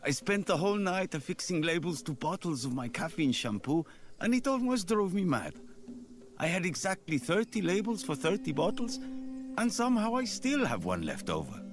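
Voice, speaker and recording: Weary peddler, deferential, pleading, raised pitch, lively intonation, video game dialogue. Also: male voice, normal speed, over music. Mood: exasperated.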